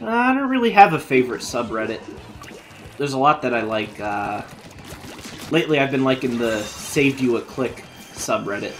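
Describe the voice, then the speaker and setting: A man chatting his way through a game stream, his voice worn and starting to go after hours of talking. His pitch sits mid-range, with faint game music playing underneath. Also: expressive, moderate pace, fairly bright tone. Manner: chatty, thinking aloud while playing. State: losing his voice. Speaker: gaming live streamer. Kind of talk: casual live-stream commentary.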